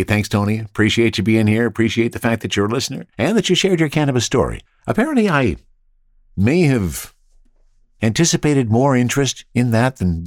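A man's voice: fairly low pitch, no echo, clean studio sound. A man hosting a podcast, chatty and friendly as he thanks listeners and introduces his guest. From Canada. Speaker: podcast host. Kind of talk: podcast interview and thank-yous.

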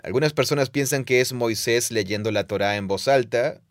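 A man's voice: mid-range voice, normal speed, dry recording, clean studio sound. A man co-hosting a podcast, explaining ancient texts at length and reading quotes aloud. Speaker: podcast co-host, Bible teacher.

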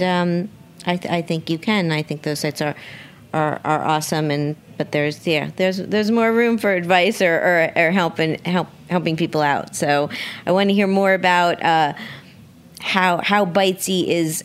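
A woman hosts a relaxed radio interview in a voice a little lower than most. She speaks with enthusiasm and often doubles back on words, as in real conversation. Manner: enthusiastic, halting with repeated words. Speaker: radio show host and interviewer.

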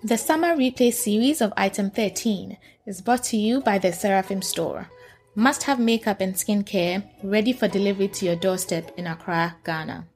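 Woman hosting a podcast, smooth reader. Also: medium pitch, normal speed, darkish tone, faint music behind. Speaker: podcast host. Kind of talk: scripted intro and casual interview.

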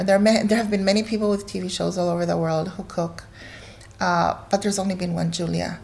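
Female cookbook author from Guatemala, lowish gravelly voice, heartfelt interview guest.

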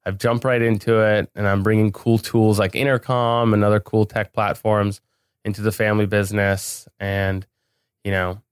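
A man's voice: lowish voice, level tone, normal speed, clear, resonant tone, no echo. A man speaking casually as an interview guest on a podcast, recorded in a studio.

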